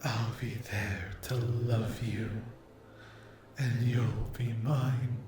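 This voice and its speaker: A man's voice: medium pitch, steady tone, a little mellow. A man voicing a cruel, possessive demon in an ASMR roleplay, murmuring and whispering breathily with sweet menace. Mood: possessive, gloating. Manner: breathy, drawn-out, sadistic, gloating endearments. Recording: roomy echo with clear background noise.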